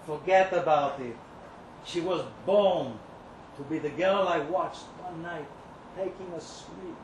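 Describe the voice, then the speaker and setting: Man acting slow, bitter lovesick kitchen worker. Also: high-pitched. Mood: bitter, yearning. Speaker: reader at a live literary event. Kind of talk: dramatic first-person short story reading. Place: indoor live reading venue. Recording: roomy live event recording, clear background noise.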